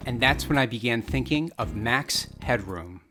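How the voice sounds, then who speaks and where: A man's voice: medium pitch, expressive, moderate pace. A man reading scripted narration for a film video essay. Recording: music bed under the voice. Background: background music.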